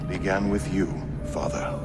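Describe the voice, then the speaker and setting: Game cutscene role: dutiful adult son of an assassin, fairly deep, sincere and grave, clear score behind. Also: male voice, little pitch movement, moderate pace, over loud music. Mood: earnest, solemn. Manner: respectful, serious. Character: dutiful, earnest grown son of an assassin master. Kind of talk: dramatic video game cutscene acting.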